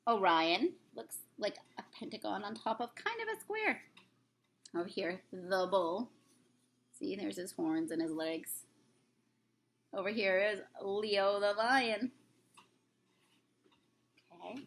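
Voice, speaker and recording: A woman teacher reading a rhyming picture book aloud to children in an expressive, sing-song storybook manner. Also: medium pitch, slow pace, long pauses.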